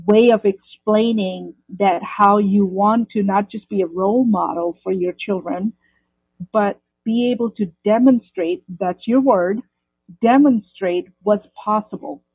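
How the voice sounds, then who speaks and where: A woman's voice: mid-range voice, slightly rough, no room sound. Effusive woman host on a tinny line. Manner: effusive, praising the guest warmly. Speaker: podcast host. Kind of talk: casual interview talk. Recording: tinny phone-like dry line.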